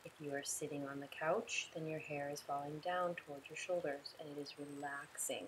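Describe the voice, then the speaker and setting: A woman leading a guided relaxation meditation in a soothing, unhurried way, giving instructions in short, spaced phrases. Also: low voice, fairly even intonation, a little hiss or hum, poor audio.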